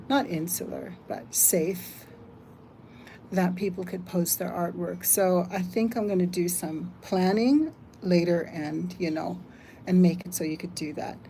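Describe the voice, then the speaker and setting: An older woman from the United States hosting a casual live stream, chatting, reading comments aloud and thinking out loud. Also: fairly low pitch, slight background noise, poor audio. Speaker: livestream host.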